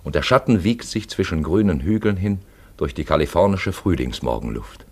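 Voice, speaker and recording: A deep lyrical narrator for an old-style audio play, very animated, dramatic delivery. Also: male voice, moderate pace, husky. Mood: poetic, wry. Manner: dramatic, lyrical, swelling and dropping away. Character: male storyteller, poetic and dramatic radio-play narrator. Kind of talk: scripted literary radio-play narration.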